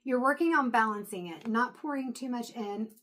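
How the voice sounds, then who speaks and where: A woman giving a casual intuitive tarot reading to camera, chatty and thinking aloud as she goes, her voice going hoarse and breaking into throat clears. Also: on the high side, normal speed, fairly bright tone. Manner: chatty, thinks aloud, frequent fillers and restarts. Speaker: tarot reader.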